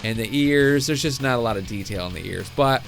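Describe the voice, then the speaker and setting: A man's voice: medium pitch, a little fast, vocal fry. A young man hosting a gameplay video, musing uncertainly and chuckling often. He is getting over a cold, so his voice sounds a bit off. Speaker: gaming video host. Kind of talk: casual gameplay commentary. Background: game music.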